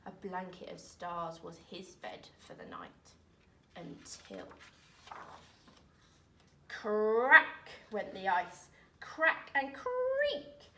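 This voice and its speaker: Slow, echoey woman reading a children's story. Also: medium pitch, wildly swooping pitch. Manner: dramatic, deliberate phrasing with emphatic swells and hushes. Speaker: storyteller reading aloud, teacher. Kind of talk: expressive children's picture-book read-aloud in rhyme. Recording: roomy echo, distant microphone.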